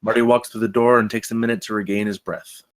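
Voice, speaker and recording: A man's voice: fairly low pitch, flat delivery, fast talker. A man reading stage directions as scripted narration at a film table read.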